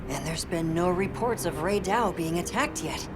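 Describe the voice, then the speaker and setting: A woman voicing a researcher in a game cutscene, explaining things in a measured, analytical way. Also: on the low side, lively intonation, natural voice. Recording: game cutscene with loud music.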